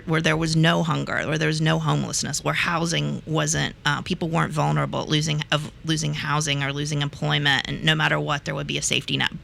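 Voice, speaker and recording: A woman's voice: low voice, creaky, pushed and bright. A woman in her fifties from West Virginia, chatting as a talk show guest, laughing easily and talking with many fillers and restarts. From West Virginia, USA. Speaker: guest, reproductive rights activist. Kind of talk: casual interview talk.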